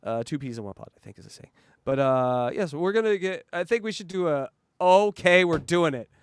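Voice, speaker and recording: A fairly high-voiced man hosting a casual reaction podcast. He rambles loosely and swears freely, and his voice sounds dry and close with no room around it. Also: lively intonation, brisk, smooth, no echo. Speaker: podcast host.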